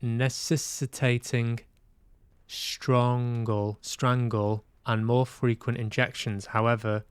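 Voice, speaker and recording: A man's voice: mid-range voice, level tone, takes it slowly, clear, resonant tone, dry recording. A man chatting casually as he hosts a livestream, hesitant and musing aloud to himself. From Manchester, UK. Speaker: livestream host. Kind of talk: casual livestream chat while playing games.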